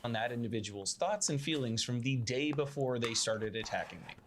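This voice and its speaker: A man's voice: mid-range voice, a little fast. Earnest self-justifying man, faint background noise. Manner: earnest, self-justifying, repetitive formal phrasing. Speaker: video creator giving a response statement. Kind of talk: prepared video statement to camera.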